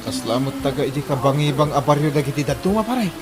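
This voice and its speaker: A man's voice: on the high side, expressive, fast, over music, natural voice. A man playing a fretful village husband in an Ilocano comedy drama, with an Ilocano accent. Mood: chatting, concerned. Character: village husband fretting over party guests.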